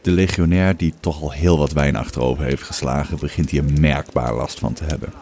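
A man's voice: extremely low pitch, steady tone, brisk, vocal fry, warm tone, slight background noise. A man reading scripted narration for an audio drama.

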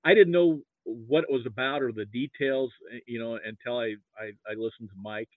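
A man chatting as a podcast guest in a modest, halting way, often repeating his words, on slightly dull audio. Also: medium pitch, dry recording. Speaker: podcast guest, amateur sports archivist. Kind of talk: casual interview chat.

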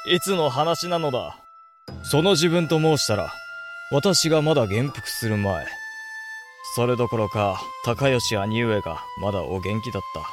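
Young samurai lord, male actor, period audio drama. Earnest, self-deprecating, formal archaic diction, mid-pitched, light music behind. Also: expressive, measured pace, close to an everyday voice. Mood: bashful, wry.

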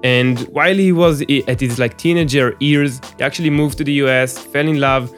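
Male podcast host, mid-pitched, casual, lots of ums and restarts. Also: fairly even intonation, brisk, a bit bright, soft background music. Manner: casual, frequent fillers and restarts. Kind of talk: podcast interview.

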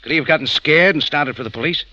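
A man's voice: fairly high pitch, fast talker, pushed and bright. An actor playing a tough male café owner and adventurer, wry and hard-boiled, with 1940s radio acting. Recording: dull old radio recording with faint noise.

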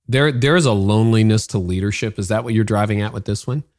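A man's voice: lowish voice, fast, a little mellow, dry recording, studio quality. A man hosting a podcast interview, asking curious, conversational follow-up questions. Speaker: podcast host.

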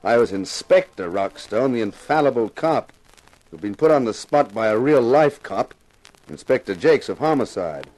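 Actor as a wry radio detective, lively intonation. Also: male voice, medium pitch, normal speed, natural voice, rough recording. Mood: wry narrating. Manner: wry, glib. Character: wry, cocky TV actor playing amateur detective. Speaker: lead and narrator. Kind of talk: 1950s radio detective drama, narration and dialogue.

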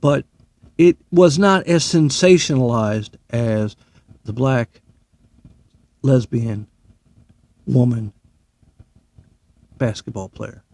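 A man's voice: medium pitch, takes it slowly, vocal fry, long pauses, darkish tone. A man, a military veteran, delivering a profane, sarcastic and heated opinion monologue.

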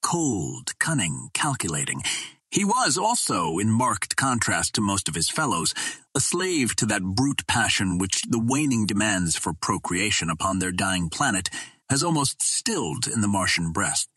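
Male audiobook reader for pulp adventure narration; lower-pitched, rough-edged voice. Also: big pitch swings, unhurried, sharp tone, dry recording. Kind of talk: scripted first-person narration of an adventure novel.

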